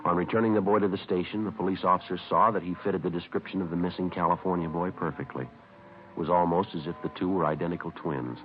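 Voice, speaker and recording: A clipped, matter-of-fact police detective , played by a man with an extremely deep voice. He talks quickly, narrating and questioning in a 1950s radio crime drama. The old recording is dull with a faint hiss. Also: steady tone, lightly acted.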